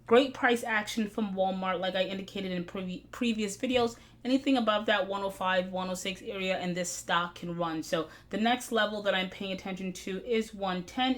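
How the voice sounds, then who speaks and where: A middle-aged woman hosting a stock market video, recapping charts in a brisk analytical way with frequent fillers, her voice slightly hoarse. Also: lowish voice.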